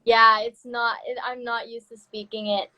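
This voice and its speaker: Young woman from Canada hosting a casual phone live stream, high and chatty, laughs as she talks. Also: little pitch movement, moderate pace, sharp tone. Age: young adult. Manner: chatty, laughs between words. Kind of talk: casual chat. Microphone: phone on a video live stream.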